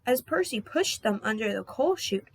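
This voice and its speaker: A woman's voice: on the high side, big pitch swings, moderate pace. A woman narrating a scripted children's story as a read-aloud. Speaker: storybook reader.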